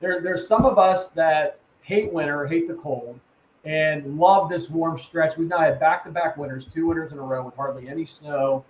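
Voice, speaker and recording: Rambling slightly high man in echoey room. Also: slight background noise. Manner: rambling, repeats words, leans on "right?" and "man". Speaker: host. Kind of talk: casual chat. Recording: echoey room with faint ambience.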